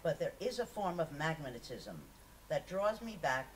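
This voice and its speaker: A woman reads a memoir aloud to an audience with animated, rising and falling pitch. Her voice is on the low side. It is caught by a distant microphone with faint noise around it. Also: a little slow. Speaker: reader at a poetry festival. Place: live festival reading, picked up from a distance. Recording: distant mic, noisy live event recording.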